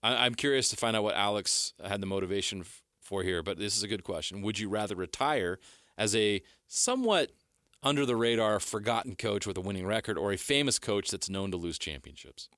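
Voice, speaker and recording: A man hosting a sports talk radio show, playful and quick to chime in. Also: fairly low pitch, animated, a bit bright, no echo, studio quality. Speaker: radio host.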